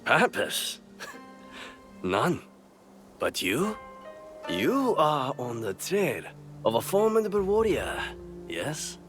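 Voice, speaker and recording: A sly swordsman who drawls his taunts slowly, his pitch rising and falling in lively, mocking swoops. The game cutscene carries a loud musical score beneath him. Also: over music, full character voice. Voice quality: sardonic, teasing. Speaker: game character. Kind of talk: video game cutscene acting.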